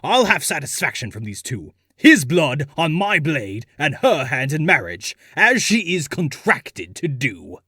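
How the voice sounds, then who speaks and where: A man playing a pompous, hot-tempered nobleman in a comic dub, with a husky, declamatory voice. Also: fairly high pitch, very animated, unhurried, hardly any pauses, pushed and bright, no room sound, studio quality, exaggerated voice. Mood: indignant. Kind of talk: amateur comic dub acting.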